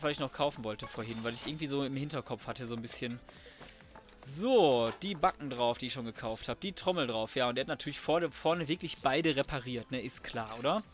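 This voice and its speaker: A man's voice: mid-range voice, fairly bright tone. A young man gives casual gameplay commentary, thinking aloud in a colloquial, muttering, mildly grumbling way. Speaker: let's play host. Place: indoors, voice-over recorded apart from the game.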